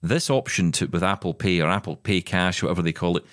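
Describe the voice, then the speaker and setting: A man's voice: deep voice, fast, fairly bright tone, no echo, studio quality. A man co-hosting a podcast from a spare room at home, chatty and jokey. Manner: chatty, jokey, acts out quoted exchanges. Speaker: podcast co-host. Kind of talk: casual chat. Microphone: remote recording.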